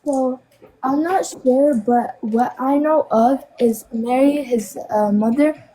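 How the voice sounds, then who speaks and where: A woman's voice: high voice, takes it slowly, mellow, slight background noise, low-quality recording. A seventeen-year-old girl who is sick, joining a debate as a guest and answering hesitantly with many fillers. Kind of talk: casual debate conversation.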